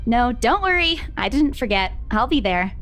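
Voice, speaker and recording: A woman's voice: fairly high pitch, sing-song, normal speed, a bit bright. A woman playing a feisty, easily flustered young professional woman in a visual novel game, with music underneath. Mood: casual. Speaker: game voice actor.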